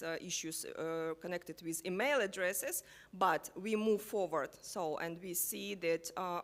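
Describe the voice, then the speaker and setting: Halting woman panelist. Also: lowish voice, a bit bright. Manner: halting, frequent pauses and restarts. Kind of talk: panel discussion.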